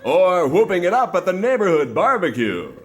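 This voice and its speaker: A man playing a folksy, chipper old-time radio pitchman in a theatrical salesman's style, reading sponsor ads on a stage variety show. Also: big pitch swings, moderate pace, music in the background. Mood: mock-earnest selling.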